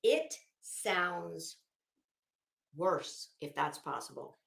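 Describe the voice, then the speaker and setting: Theatrical American woman with sweeping pitch. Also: expressive, gravelly, fairly bright tone, roomy sound. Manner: theatrical, emphatic delivery with deliberate drawn-out phrasing and asides. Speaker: commentary show host. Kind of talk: dramatic reading of legal documents with casual commentary.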